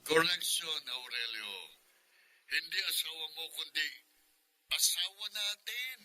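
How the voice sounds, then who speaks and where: An actor playing a smug romantic rival who taunts a husband in a teasing, roundabout way, in radio drama style. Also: male voice, on the high side, unhurried, pushed and bright, poor audio. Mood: taunting. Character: taunting male rival claiming another man's wife.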